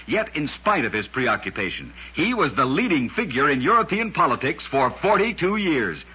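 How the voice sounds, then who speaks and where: A man with a raspy voice narrating scripted oddities for an old-time radio show in a dramatic, emphatic storyteller's delivery. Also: on the high side, big pitch swings, normal speed, bright, forceful tone, a little hiss or hum. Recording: dull, lo-fi vintage radio recording.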